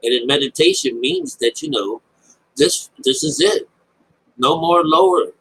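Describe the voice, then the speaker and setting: A man with a husky voice giving informal, unscripted Bible commentary, rambling, repeating phrases and checking in with his listeners. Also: a little high, expressive, unhurried, a bit bright, a little hiss or hum, rough recording. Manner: rambling explanation with frequent check-ins like "all right?" and "okay?". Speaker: Bible teacher.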